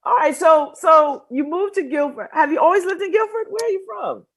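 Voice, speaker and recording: A woman hosting a casual interview show, chatty and quick to laugh as she asks questions. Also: very high voice, fairly bright tone. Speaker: talk show host.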